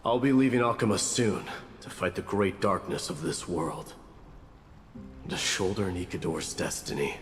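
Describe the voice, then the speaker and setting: An actor playing a stern, proud warrior prince in a video game, speaking in a measured, grave and declarative way. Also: male voice, sits low, animated. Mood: grave resolve. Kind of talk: dramatic video game dialogue. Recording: long reverb, music behind. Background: game soundtrack music.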